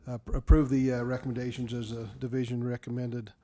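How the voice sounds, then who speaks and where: A man, a regional council chair, reporting motions to a board in a meeting hall, halting with many uhs and restarts. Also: medium pitch, little pitch movement, warm tone.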